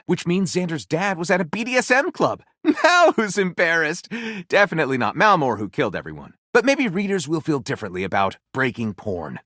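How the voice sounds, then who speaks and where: A man's voice: on the high side, fairly bright tone, no echo, clean studio sound. An actor playing a glib male would-be author in a video game, narrating pulp stories with wry, mock-grand theatrical delivery. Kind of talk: scripted game dialogue, comic narration.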